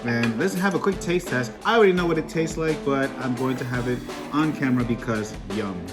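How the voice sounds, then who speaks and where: A man's voice: mid-range voice, fairly quick, music in the background. A man from Peru hosting a cooking vlog, talking through a recipe in an upbeat, chatty way with small jokes at his own expense. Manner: upbeat, chatty, self-joking. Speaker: cooking vlogger. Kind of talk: casual cooking tutorial.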